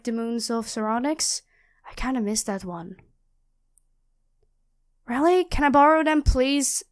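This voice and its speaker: Woman acting high-voiced, nerdy, flustered female student. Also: clean tone. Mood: friendly, chatty. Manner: expressive, flustered, rambling, self-correcting. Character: nerdy, chatty, apologetic young female astrology student. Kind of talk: audio roleplay acting addressed to the listener.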